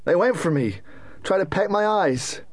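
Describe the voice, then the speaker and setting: A man's voice: sits high, expressive, moderate pace, dry recording, pitched above the performer's own voice. A man voicing an agitated countryman, a husband and father, urgent and emphatic, in an audiobook.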